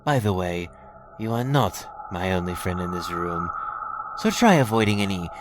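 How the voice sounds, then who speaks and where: Sly, sing-song alien raider lord. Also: male voice, sits low, very animated, noisy background. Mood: smug menace. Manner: mock-polite, sardonic, drawn-out menace. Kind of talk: scripted audio drama acting.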